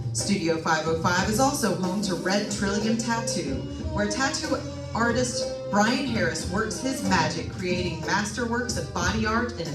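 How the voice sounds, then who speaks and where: A woman announcer delivering a scripted sponsor message in radio advertisement style. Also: medium pitch. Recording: roomy, distant stage microphone pickup.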